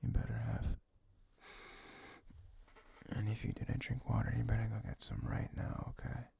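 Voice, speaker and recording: A young man talking in a casual, unscripted, intimate ASMR style, rambling and halting with a lot of "like", his gravelly voice often sinking toward a whisper. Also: completely flat delivery. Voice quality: low, gravelly, breathy, often dropping near a whisper. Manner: rambling, halting, frequent "like", soft chuckles. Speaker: ASMR audio creator playing a comforting boyfriend.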